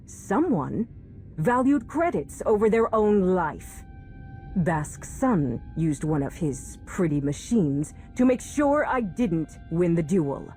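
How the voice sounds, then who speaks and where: A calculating warrior leader delivers a commanding game monologue. Her voice is dark and very unhurried, solemn and deliberate, with faint music underneath. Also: lowish voice. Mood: cold, contemptuous. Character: calculating, ruthless female warrior leader addressing followers. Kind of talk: video game villain monologue.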